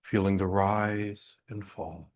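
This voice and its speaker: A man guiding a breathing meditation in a gentle, unhurried way, leaving long pauses between short instructions. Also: deep voice, steady tone. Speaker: meditation guide.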